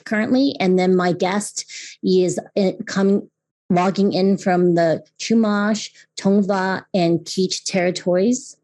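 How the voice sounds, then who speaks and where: Woman in her fifties from Taiwan, podcast host, earnest personal talk, somewhat low pitch, restarts and repeated words. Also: mellow. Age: 50s. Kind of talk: scripted intro narration.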